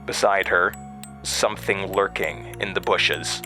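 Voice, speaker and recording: An actor playing a haunted man recounting a terrifying memory in a horror audio drama, halting and hesitant. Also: low voice, steady tone, bright, forceful tone, faint music behind. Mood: haunted, tense. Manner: halting, hesitant, with stammered restarts.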